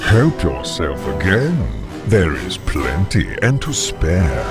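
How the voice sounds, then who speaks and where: A huge, menacing dragon for a fantasy game. The voice is very deep and slow, with wild swings in pitch. It is sly and mock-courteous, with loud music behind it. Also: male voice, very animated, heavily acted. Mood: sly, taunting. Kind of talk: theatrical video game voice acting.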